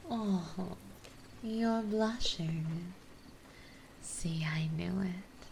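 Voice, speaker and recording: Woman acting as a doting vampire mistress, asmr, really slow n mellow, breathy, kinda low, coaxing. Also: slight background noise. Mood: soothing, teasing, then intimate, coaxing. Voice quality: soft, breathy, often whispered. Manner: slow, coaxing, refined and reassuring. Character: dominant, gentle, doting female vampire mistress. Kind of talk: intimate ASMR roleplay.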